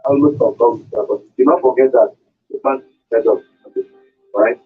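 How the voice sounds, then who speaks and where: A Nigerian man with a husky voice phoning a radio show to give his opinion. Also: medium pitch, normal speed, soft background music. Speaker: radio phone-in caller. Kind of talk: giving an opinion on air. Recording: tinny phone line into radio.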